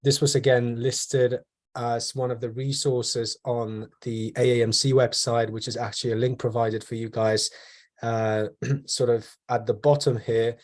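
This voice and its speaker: A man in his twenties from Poland, a medical student mentoring on a video call panel, speaking in a measured way with frequent ums and you-knows. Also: medium pitch, flat delivery. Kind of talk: online panel talk.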